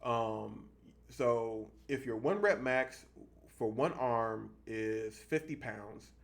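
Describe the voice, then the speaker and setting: A man in his thirties giving a casual fitness vlog monologue, explaining things haltingly and repeating points for emphasis. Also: lowish voice, gravelly. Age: 30s. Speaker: fitness vlogger.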